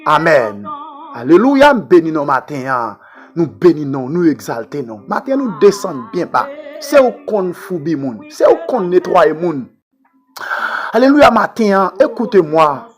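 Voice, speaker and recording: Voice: medium pitch, sing-song, measured pace. Haitian prayer leader, fervent and swooping. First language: Haitian Creole. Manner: fervent, pleading, repetitive. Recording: music playing under the voice. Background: music.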